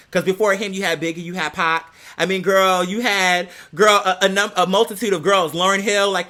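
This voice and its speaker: A man's voice: high-pitched, sharp tone. An American man giving a casual, opinionated monologue in a sassy, emphatic, slangy manner. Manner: sassy, slangy, emphatic, peppered with "girl" and "bitch". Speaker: online commentator.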